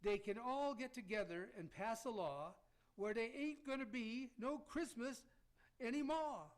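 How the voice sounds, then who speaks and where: A man's voice: extremely high pitch, a little slow. A man playing a folksy, scheming villain with a Southern US accent and a drawl in an amateur rhymed radio play. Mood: sly, folksy.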